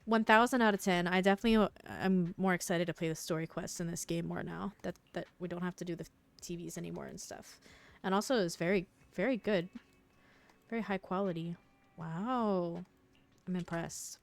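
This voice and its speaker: A woman's voice: fairly low pitch, fairly even intonation, normal speed, creaky. A woman streaming a game, giving casual, slangy live commentary and reacting aloud as she plays.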